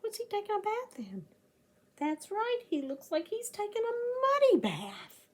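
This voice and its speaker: A middle-aged woman reading aloud to young children like a teacher, sing-song and animated, often breathy, stretching key words and putting questions to her listeners. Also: extremely high pitch, unhurried. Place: small indoor room, seated by a door.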